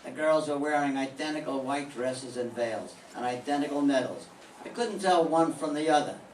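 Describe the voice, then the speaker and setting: A hard-boiled private eye, played by an older man in an old-time radio drama, dry and sardonic. His voice sits mid-pitched and comes from across a reverberant room, with a faint hiss underneath. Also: normal speed, darkish tone, recorded from a distance, close to an everyday voice. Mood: narrating. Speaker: amateur radio play performer. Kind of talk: 1940s radio acting.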